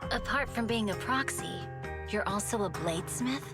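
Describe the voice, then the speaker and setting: A stoic young swordswoman from a video game, played by a woman, speaks with formal composure. Her mid-pitched voice is proud and terse, and loud music plays behind the dialogue. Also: lively intonation, normal speed, close to an everyday voice. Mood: serious, reserved. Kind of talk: dramatic video game voice acting.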